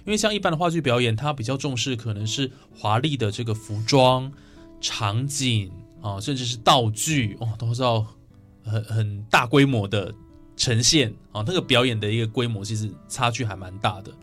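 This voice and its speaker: A man with a Taiwanese Mandarin accent hosts a studio interview over soft music. His pitch is mid-range, and he often sums up and seeks agreement with short tag questions. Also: moderate pace. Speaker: programme host.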